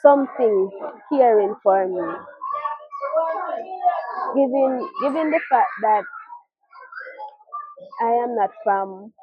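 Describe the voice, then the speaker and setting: A young woman with a Caribbean accent gives an earnest, halting personal testimony as a mother, often repeating her phrases. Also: on the high side, very noisy. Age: young adult. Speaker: mother telling her life story. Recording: muffled, narrow phone-like audio.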